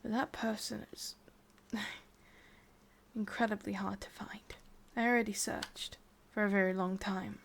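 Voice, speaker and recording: Woman doing a english witch asmr roleplay, obsessive and lovesick, super slow breathy whisper w lots of creak. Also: medium pitch, fairly even intonation, a little hiss or hum. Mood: calm, resigned. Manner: slow, hushed, intimate delivery with pauses. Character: lovelorn, obsessive immortal witch, wistful and gently coaxing.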